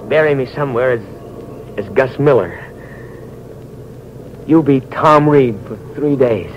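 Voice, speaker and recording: A man's voice: medium pitch, a little slow, natural voice. A man acting a sardonic, fatalistic heir who taunts and goads in theatrical 1940s radio drama style. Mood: weak, mocking. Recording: muffled old radio recording, faint noise.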